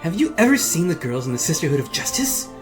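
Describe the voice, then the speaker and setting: A man's voice: fairly high pitch, sing-song, quick pace, over music, pitched above the performer's own voice. A man voicing a hot-headed, ambitious young army priestess in a fantasy game, brash and emphatic. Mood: yearning, apologetic.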